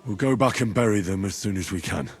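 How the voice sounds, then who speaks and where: An actor playing an earnest, level-headed and loyal young squire in a medieval video game. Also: male voice, lowish voice, fast, natural voice. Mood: resolute. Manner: earnest, reassuring. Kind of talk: video game cutscene acting.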